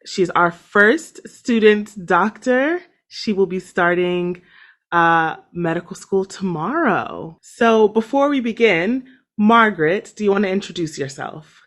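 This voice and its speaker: A woman's voice: medium pitch, expressive, slow pace, fairly bright tone. A young woman hosting a podcast, upbeat and chatty, laughing easily. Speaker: podcast host, junior doctor.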